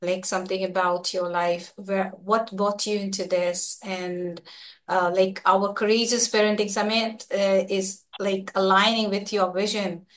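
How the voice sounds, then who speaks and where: A woman hosting an online parenting summit interview on a video call, often pausing with "uh" and "like". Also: mid-range voice, level tone. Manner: hesitant, many "uh" and "like". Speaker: host, parenting coach.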